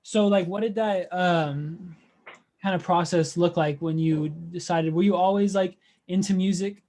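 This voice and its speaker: A man's voice: high-pitched, steady tone, a little slow, mellow. A man asking questions as an interviewer in an informal, slangy, conversational way. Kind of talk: casual interview chat.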